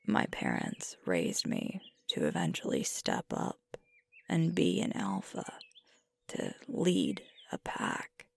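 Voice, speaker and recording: A woman playing a sweet, sleepy alpha werewolf girlfriend in an intimate ASMR roleplay, speaking in a gentle, husky, unhurried murmur close to the microphone. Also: rumbling low, wildly swooping pitch, full character voice. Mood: hesitant, confiding. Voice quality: breathy, husky with creaky fry. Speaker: ASMR performer. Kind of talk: ASMR roleplay, intimate whispered and soft-spoken. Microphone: close mic, dry.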